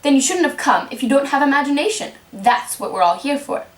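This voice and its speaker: Lady playing a contrary bossy tea party host in a puppet show, high voice, echoey. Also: sing-song, brisk. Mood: brusque. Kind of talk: amateur puppet-show storytelling. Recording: roomy, distant microphone.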